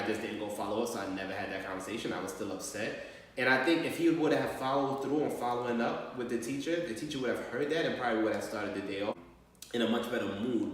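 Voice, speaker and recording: A man speaking as a school staff member on a call to a parent, explaining things in a measured way. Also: lowish voice. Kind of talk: training role-play of a parent phone call. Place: large echoey room, far from mic. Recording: very echoey hall, distant mic, faint noise.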